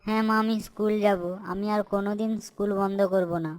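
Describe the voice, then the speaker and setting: A woman's voice: mid-range voice, level tone, fast, darkish tone, smooth, soft background music, close to an everyday voice. A woman voicing a lazy schoolboy who dodges school, whiny and pleading, in a cartoon. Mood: startled. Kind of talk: cartoon voice acting.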